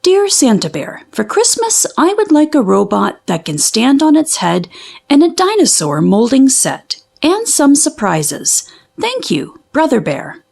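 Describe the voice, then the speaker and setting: A woman's voice: sits high, wildly swooping pitch, a little slow, creaky, a little mellow, voice pushed up, exaggerated voice. A woman voicing a confident, sensible young boy bear cub in a storybook. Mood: earnest.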